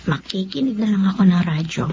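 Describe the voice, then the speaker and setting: A woman's voice: medium pitch, expressive, fairly quick, dark tone, natural voice. A woman with a Filipino accent playing a frightened, wronged young woman in anguish in a Tagalog radio drama. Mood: uneasy. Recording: dull lo-fi radio drama, clear noise.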